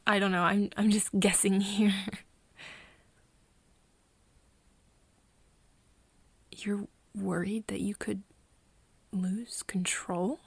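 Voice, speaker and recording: A woman playing a hesitant, caring young human friend in a soft ASMR roleplay, breathy and often dropping into a whisper, speaking haltingly. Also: medium pitch, little pitch movement, dry recording, exaggerated voice. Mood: nervous, teasing. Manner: halting, hesitant, with nervous giggles. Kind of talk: soft ASMR roleplay acting addressed to the listener.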